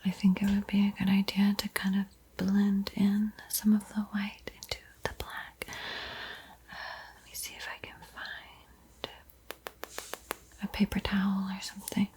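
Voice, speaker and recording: A woman whispering softly and breathily in a soothing, playful ASMR roleplay as she guides a painting tutorial. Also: mid-range voice, monotone. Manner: slow, soothing, playfully teasing. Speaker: art tutorial host.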